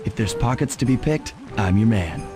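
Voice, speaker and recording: A man's voice: lowish voice, animated, normal speed, natural voice. Man as a suave, wry cartoon master thief. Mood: wry, breezy. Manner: breezy, dry-witted. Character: suave, wry, laid-back young male master thief. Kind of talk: cartoon video game voice acting. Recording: music behind the voice.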